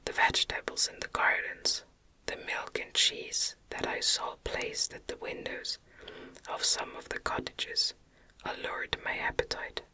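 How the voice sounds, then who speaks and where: A woman reads literary narration entirely in an unvoiced, breathy whisper. The sound is very bright and sibilant. Her delivery is gentle and unhurried, with deliberate, softly articulated short phrases in an ASMR style. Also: rough recording. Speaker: audiobook narrator. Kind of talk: ASMR whispered novel reading.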